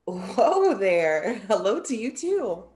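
A woman's voice: mid-range voice, big pitch swings, takes it slowly, darkish tone. A young woman playing a proud, playful Greek muse with theatrical, formal wording in a play reading. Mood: curious. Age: young adult. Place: indoor room, video call.

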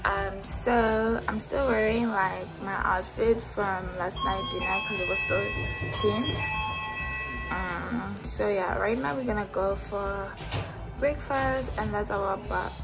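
A woman's voice: mid-range voice, steady tone, slow pace, over loud music, rough recording. A young woman chatting casually on her travel vlog, rambling with fillers, filmed on her phone in a hotel villa. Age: young adult. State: slightly stuffy with a flu. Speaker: vlogger. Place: luxury hotel villa, indoors. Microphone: phone held in mirror selfie.

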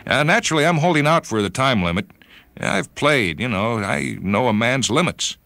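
A man voicing an uneasy, folksy retired player turned sports judge in a dramatic reading, with halting, hedging speech. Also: medium pitch, animated, gravelly, pushed and bright, pitched above the performer's own voice. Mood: uneasy, confiding.

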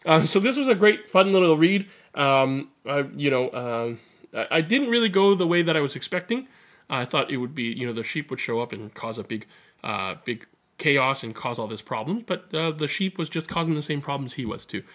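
Animated, bright-voiced man reading aloud. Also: fairly high pitch, normal speed. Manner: expressive, animated storytelling. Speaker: read-aloud storyteller and host. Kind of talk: picture-book read-aloud and casual commentary. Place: small indoor room at home.

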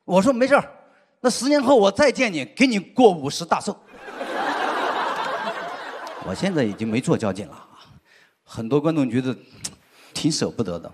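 A middle-aged man doing a stand-up routine on a studio stage into a handheld mic, telling stories with lots of drawn-out "ah" fillers. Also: fairly high pitch, noisy background. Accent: Sichuan. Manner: lively storytelling with frequent "啊" fillers. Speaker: stand-up comedian. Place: large indoor studio stage with audience. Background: audience laughter.

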